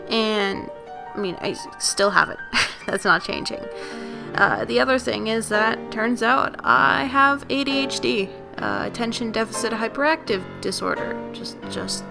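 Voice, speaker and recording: A tired young woman with a raspy, lower voice, talking casually and unscripted in a vlog, full of fillers and restarts. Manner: rambling, many fillers and self-corrections. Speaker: video creator. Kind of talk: unscripted casual vlog talk over speed-drawing. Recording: music playing underneath.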